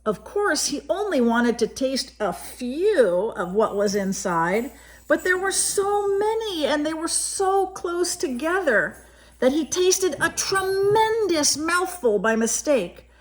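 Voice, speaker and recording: A woman reading a picture book aloud as a storyteller for young children, expressively, pausing to explain pictures and ask questions. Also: sits high, big pitch swings.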